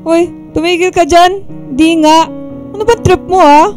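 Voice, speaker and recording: A woman's voice: extremely high pitch, steady tone, a little slow, over music. A woman playing a coy, easily flustered young woman in a radio drama, with a teasing, exasperated way of talking. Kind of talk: Filipino radio drama acting.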